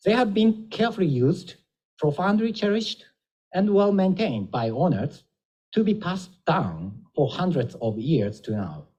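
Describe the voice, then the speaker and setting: A man, an embassy minister, giving a formal read introduction before a lecture, measured and slightly halting. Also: high-pitched, very animated, dark tone, husky, low-quality recording.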